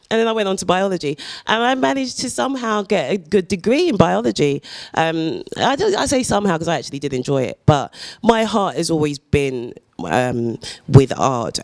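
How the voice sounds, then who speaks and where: A woman's voice: deep voice, darkish tone, no echo. A woman artist speaking casually on a panel, chatty and self-deprecating, laughing often. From United Kingdom. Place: gallery discussion event.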